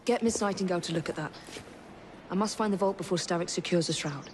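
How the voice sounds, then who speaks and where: A woman plays a poised young Victorian Englishwoman, determined and quick-witted. She speaks briskly in an English accent, with game ambience clearly audible behind her. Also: fairly low pitch, darkish tone, a little hiss or hum, close to an everyday voice. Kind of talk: video game cutscene acting.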